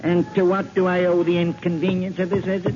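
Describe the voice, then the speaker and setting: A man plays an irritable, self-important rich gentleman in an old radio drama. He grumbles and blusters in a somewhat high voice. The recording is muffled with faint noise. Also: completely flat delivery, a little fast, lightly acted. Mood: indignant. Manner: grumbling, pompous. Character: irritable, blustering wealthy male owner of decaying mansion. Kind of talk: 1940s radio acting.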